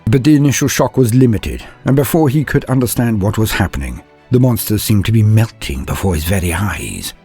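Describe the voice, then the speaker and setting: A man's voice: fairly low pitch, a little mellow. A man reading scripted stories as a narrator, with a solemn, theatrical, dramatic delivery. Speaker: narrator of fan fiction war tales. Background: music.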